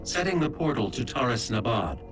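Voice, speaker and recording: An actor playing a calm, efficient artificial intelligence in a video game, giving matter-of-fact status reports. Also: male voice, mid-range voice, fairly quick, lightly acted. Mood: calm, factual. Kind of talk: video game cutscene acting. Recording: distant voice under loud music. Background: loud game music.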